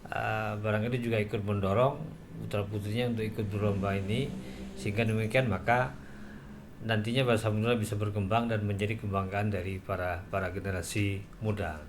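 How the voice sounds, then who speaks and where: A man speaking as a talk show guest, giving a formal, measured answer in deliberate phrases. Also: lowish voice, steady tone, noisy background. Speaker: talk show guest, education council representative.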